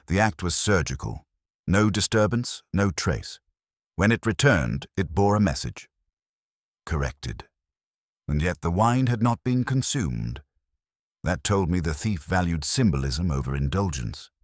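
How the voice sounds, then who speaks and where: A man voicing an imperious, erudite detective in an audiobook, speaking in formal, deliberate pronouncements. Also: low voice, very animated, dry recording, clean studio sound, exaggerated voice. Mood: lecturing, exacting. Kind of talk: detective audiobook character dialogue.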